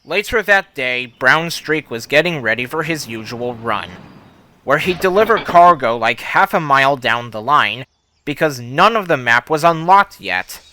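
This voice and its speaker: A man reading a scripted children's story as narrator, expressive, with deadpan understatement. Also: mid-range voice, measured pace. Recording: music under the voice. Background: music.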